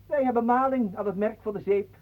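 An actor playing a pompous, obsequious, scheming artist in a radio play, speaking in a mannered, formal way. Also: male voice, high-pitched, brisk, close to an everyday voice. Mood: pompous, sly. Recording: muffled, narrow-band old radio recording.

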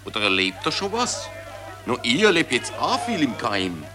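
An actor playing a vapid, chatty Viennese officer in a 1940s German radio play. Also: male voice, medium pitch, wildly swooping pitch, normal speed. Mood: idly curious. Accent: Viennese Austrian. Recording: vintage radio, music behind.

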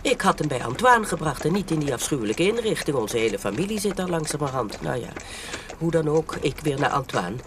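Indignant elderly matriarch, noisy recording. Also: female voice, low-pitched, very animated, brisk. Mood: exasperated. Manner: emphatic, repeats for effect. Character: prim, indignant elderly mother, self-righteous and dramatic. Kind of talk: Dutch radio drama acting.

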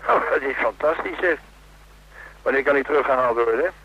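A man's voice: medium pitch, lively intonation, a little fast, breathy edge. An actor playing a cheeky, breezy man on the telephone in a radio drama, playful and ironic through a phone filter. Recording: dull, band-limited telephone voice.

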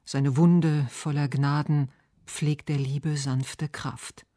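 Higher-pitched male literary radio reader. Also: flat delivery, slow, mellow, no echo, studio quality. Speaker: radio essay narrator and poetry reader. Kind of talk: scripted literary narration and recitation.

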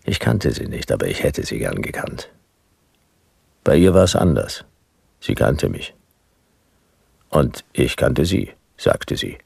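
A hard-boiled private detective from a noir radio play. The voice is very deep and gravelly, yet moves expressively. The delivery is dry, terse and world-weary. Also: male voice, big pitch swings, takes it slowly, long pauses, a little mellow, dry recording, full character voice. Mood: brooding narration. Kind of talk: noir radio play dialogue and first-person narration.

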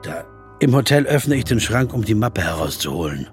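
A wry jazz pianist narrating, over music. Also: male voice, on the low side, very animated, normal speed. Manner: thoughtful first-person narration, dry and understated. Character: male jazz pianist narrating his own story, wry and restless. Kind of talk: audio drama narration and dialogue. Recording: music bed underneath.

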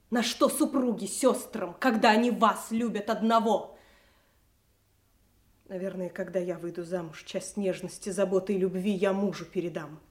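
A steadfast young princess, played by an actress, speaks earnestly and plainly in classical audio theatre. Her restrained, medium-pitched voice is unhurried, with roomy echo around it. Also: darkish tone, lightly acted. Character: king's youngest daughter, honest, dutiful, steadfast young princess. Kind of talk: classical stage acting in an audio drama.

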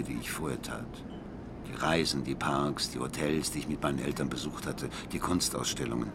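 An actor playing a blind, worldly music critic in a radio drama, speaking eloquently and deliberately with bitter passion. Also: male voice, rumbling low, background noise. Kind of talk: radio drama dialogue and monologue. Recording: music behind the voice.

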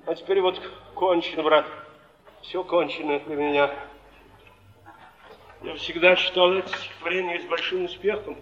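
A man's voice: fairly high pitch, fairly even intonation, moderate pace, long pauses, fairly bright tone, natural voice. An actor playing a ruined alcoholic male former actor, melancholy, grandiose and declamatory in stage style. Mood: nostalgic, mournful. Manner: declamatory, mournful. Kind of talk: mid-century theatrical stage acting. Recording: muffled echoey old theatre recording.

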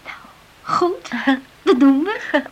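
An actress playing an obliging, affectionate young confidante in a radio drama. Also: female voice, high-pitched, animated, drawn-out words, heavily acted. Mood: helpful, tender.

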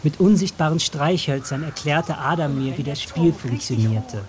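An actor playing a grown narrator who recalls a village childhood in measured, reflective radio drama storytelling. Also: medium pitch, crowd noise, natural voice.

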